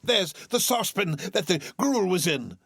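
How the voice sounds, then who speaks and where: A man's voice: sits high, expressive, moderate pace, a bit bright, no room sound, voice pushed up. Elderly reformed miser, giddy and breathless, stammering, a man reading it; dry close sound. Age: older. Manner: stammering, breathless, laughing between words. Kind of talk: audiobook character reading.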